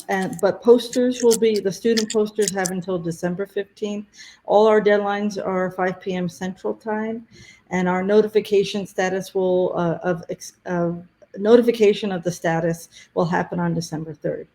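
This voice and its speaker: A woman presenting conference deadlines and tracks in a webinar, talking haltingly with many fillers, her voice going hoarse. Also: mid-range voice, warm tone. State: losing her voice.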